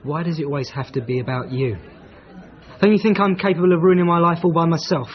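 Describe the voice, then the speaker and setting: Man playing a prickly, higher-voiced gentleman's companion. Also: fast talker, a faint crowd, natural voice. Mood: hurt, bitter. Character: proper, prickly young Edwardian gentleman's companion, long-suffering. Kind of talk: period radio drama acting. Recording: dull, band-limited broadcast audio.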